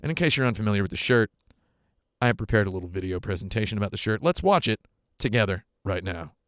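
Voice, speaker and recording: A man hosting a casual live game stream, talking with playful, deadpan asides. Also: on the low side, fast talker, dry recording, studio quality.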